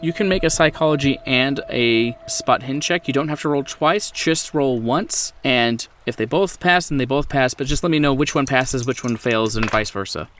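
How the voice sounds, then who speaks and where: A man running a tabletop horror game as game master, setting scenes in the second person with deliberate phrasing. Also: medium pitch, a bit bright. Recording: music behind the voice.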